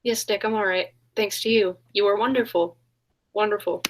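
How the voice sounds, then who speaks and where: A woman's voice: medium pitch, steady tone, normal speed, slight background noise, close to an everyday voice. A woman playing an earnest heroine, a detective's sweetheart in peril, in a 1940s style radio play. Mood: relieved, breathless.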